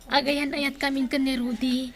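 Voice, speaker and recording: A woman's voice: high-pitched, little pitch movement, moderate pace. A woman acting the part of a dutiful young student daughter in love in a radio drama. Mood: grateful, affectionate.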